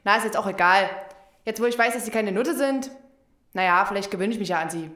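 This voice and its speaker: Radio crime play: cantankerous, proud old woman played by an actress, accusatory and blunt, forceful bright delivery in a roomy space. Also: mid-range voice, fast, lightly acted. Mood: suspicious, nosy. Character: cantankerous, suspicious old woman neighbour, crude, proud, onion-obsessed.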